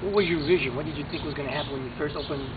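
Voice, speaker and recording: A man's voice: on the high side, very fast. A man interviewing a guest, eagerly pressing with follow-up questions. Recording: tinny phone-like audio with clear background noise.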